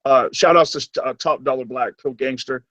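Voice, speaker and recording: A man's voice: medium pitch, moderate pace, fairly bright tone, no echo, lo-fi sound. A man hosting a live stream, talking bluntly with some swearing and stuttering when he speaks off the cuff. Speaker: stream host. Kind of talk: casual chat.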